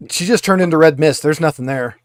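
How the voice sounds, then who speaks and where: A man's voice: fairly high pitch, quick pace. A man acting as game master, casually narrating a tabletop game and explaining its rules to the players.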